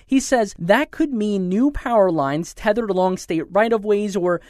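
A reporter reads a scripted radio news story in a clear, even voice at a middle pitch. The sound is close and dry, with no room echo. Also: moderate pace.

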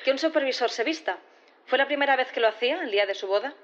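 Casting: female prosecutor in sci-fi audio drama; higher voice, methodical, insistent, formal, slightly dull recording. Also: lively intonation, fast, a bit bright. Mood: probing, polite. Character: composed, probing female prosecutor in a sci-fi courtroom. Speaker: actor in audio fiction. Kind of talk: audio drama acting, courtroom questioning.

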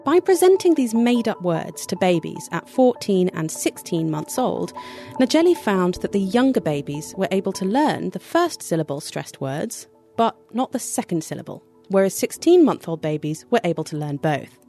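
Woman science presenter, scripted narration, clear explanatory delivery, faint music underneath. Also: mid-range voice, sing-song, moderate pace, mellow. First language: English. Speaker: podcast producer and presenter.

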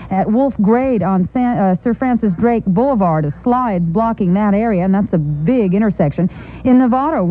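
A woman with an American accent reading a scripted radio news report from a newsroom. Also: fairly high pitch. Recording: narrow lo-fi 1980s radio aircheck.

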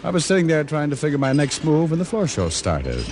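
An actor playing a wisecracking, world-weary private detective in an old radio drama, with a wry, deadpan manner. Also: male voice, on the high side, brisk, loud music behind the voice, a loud crowd. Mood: wry, cool. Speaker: actor narrating and playing the lead. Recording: vintage radio broadcast recording.

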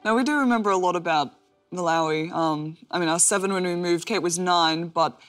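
A woman's voice: lowish voice, brisk, clear, resonant tone, faint music behind. A woman, answering interview questions in a chatty, self-deprecating way with lots of fillers. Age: 20s. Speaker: interview guest, athlete.

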